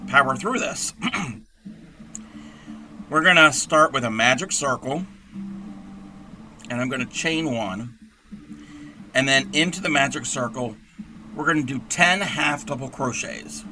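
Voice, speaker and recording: A man with a hoarse, scratchy voice who talks through a crochet tutorial in a rambling, chatty way and clears his throat often. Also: medium pitch, measured pace, long pauses, bright, forceful tone. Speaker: crochet livestream host.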